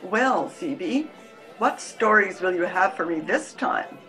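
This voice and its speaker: An older woman playing a genteel, polite 1908 suffragist in a radio play, with an expressive, mannered delivery. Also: on the low side, slow, faint music behind, heavily acted, rough recording. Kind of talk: period radio play acting. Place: at home on a video call.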